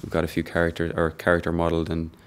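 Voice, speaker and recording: A man, a team leader, giving brisk, matter-of-fact interview answers. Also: low-pitched, completely flat delivery, smooth.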